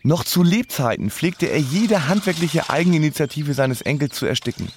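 A man's voice: a little high, animated, moderate pace, slight background noise. An actor playing a self-deprecating young man stuck in life, narrating his story in a radio drama with wry self-mockery. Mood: wry, resigned. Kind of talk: first-person scripted radio drama narration.

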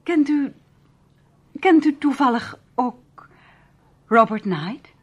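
A woman's voice: high voice, expressive, takes it slowly, slightly rough, heavily acted. A woman playing a famous actress in a Dutch radio play, polite, guarded and a little hesitant in a theatrical way. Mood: nervous, hesitant.

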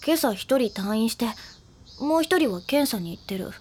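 A woman playing a prickly high school girl who hides her affection, curt, defensive and easily flustered, in an anime audio drama. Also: expressive, normal speed, darkish tone, slight background noise, close to an everyday voice. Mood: curt, sulky. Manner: curt, defensive, trailing into shy mumbles. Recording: studio drama with music underneath.

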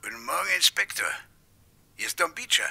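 A man's voice: fairly high pitch, very animated, fairly quick, vocal fry, background noise, heavily acted. An actor playing a chatty barkeeper turned eager witness in a German radio crime drama, hesitant and rambling as he informs. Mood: chatty, confiding.

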